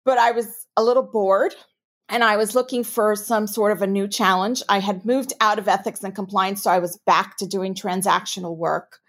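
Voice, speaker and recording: A woman from New York with a New York accent, answering interview questions as a compliance officer in a measured, explanatory way. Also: mid-range voice, steady tone. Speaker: chief ethics and compliance officer.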